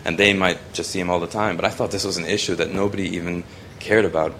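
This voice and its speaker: Young man from Hong Kong, deep low voice, loose rambling delivery over faint background noise. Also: fairly even intonation, quick pace, fairly bright tone. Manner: rambling, many ums and restarts. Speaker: student photographer presenting his work. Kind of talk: casual spoken presentation.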